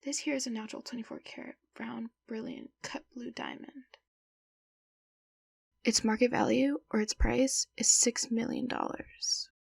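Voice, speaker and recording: A woman performs an authoritative middle-aged principal who secretly bosses a crew in an anime-style dub. Her voice sits on the high side, very creaky and raspy. She lays out business in a measured, controlled way. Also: steady tone, heavily acted. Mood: businesslike, secretive. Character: middle-aged female school principal running a criminal crew, commanding.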